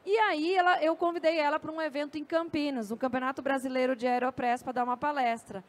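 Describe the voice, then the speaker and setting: A woman's voice: sits high, steady tone, fast. A woman with a Brazilian accent giving a conversational, anecdote-filled talk on a festival stage into a handheld microphone. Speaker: journalist and speaker.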